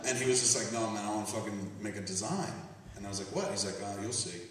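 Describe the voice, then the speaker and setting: A man's voice: lowish voice, quick pace, a crowd in the background. A man hosting a live comic podcast, telling anecdotes in profane slang and acting out both sides of the dialogue. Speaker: podcast host. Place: large campus hall, live before an audience. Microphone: handheld audience camera, far from speaker. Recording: distant echoey hall recording with audience noise. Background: audience noise and laughter.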